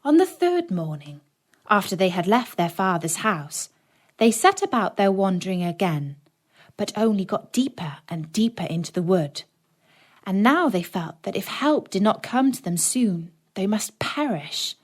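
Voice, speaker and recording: A woman's voice: medium pitch, big pitch swings, measured pace. Woman narrating a children's storybook. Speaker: audiobook narrator.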